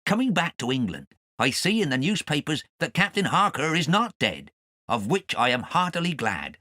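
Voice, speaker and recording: A man's voice: a little high, sing-song, moderate pace, bright, forceful tone, dry recording, clean studio sound, exaggerated voice. A man voicing a self-righteous, rambling sailor with a grudge, telling his confession in an audiobook. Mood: pious, vindictive. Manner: sanctimonious, aggrieved, rambling. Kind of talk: audiobook character narration.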